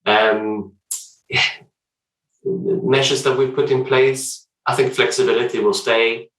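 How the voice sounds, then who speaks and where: A man from Sweden with a Swedish accent, a guest talking through his work in a measured, hesitant way with frequent restarts. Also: mid-range voice, steady tone, fairly bright tone, echoey room, distant mic, poor audio. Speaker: hotel development director, podcast guest. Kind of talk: casual interview chat.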